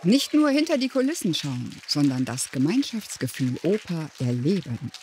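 A woman reporter reading a scripted voice-over in a measured way. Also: low voice, big pitch swings, darkish tone, a little hiss or hum. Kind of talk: scripted narration.

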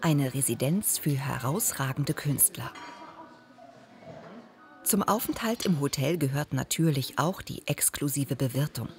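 A woman's voice: low voice, a little mellow. A woman reading scripted television documentary narration as a voice-over. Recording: background music under the voice-over. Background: music.

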